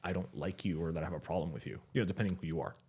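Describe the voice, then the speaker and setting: A man's voice: low voice, rapid-fire, darkish tone, slightly rough. A man chatting casually and playfully as a podcast co-host. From Miami, USA. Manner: playful, bantering. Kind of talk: casual chat.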